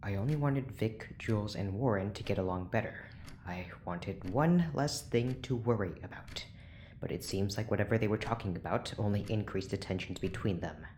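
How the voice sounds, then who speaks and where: A low-pitched, irritable male scientist boss played by an actor in an audio drama. He is weary and curt, with a dry sarcastic edge, over noticeable noise. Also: normal speed, darkish tone, close to an everyday voice. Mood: weary, exasperated.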